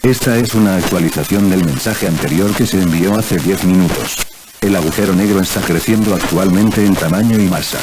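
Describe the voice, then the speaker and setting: A man with a deep voice delivers an emergency alert over audible noise. He reads the scripted announcement formally and impersonally, like an official announcer. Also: a little fast. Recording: clear background noise.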